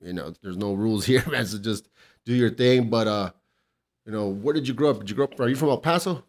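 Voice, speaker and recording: A man's voice: on the low side, fairly quick. A man hosting a laid-back podcast, chatting casually in slangy, sweary talk and often chiming in with agreement. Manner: encouraging, often interjects agreement. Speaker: podcast host.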